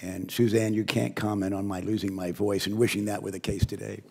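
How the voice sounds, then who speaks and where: An older man with a hoarse voice, reading a formal opening statement as committee chairman with occasional asides. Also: on the low side, little pitch movement, a little fast, a little mellow.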